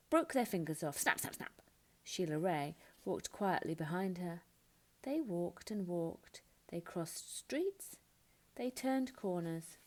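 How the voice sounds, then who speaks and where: Slow, expressive woman reading a children's story. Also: on the low side. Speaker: storybook narrator.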